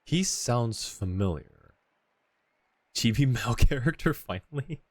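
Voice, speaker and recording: A man's voice: mid-range voice, expressive, a little slow. A man giving casual live commentary as a game streamer, close to the microphone.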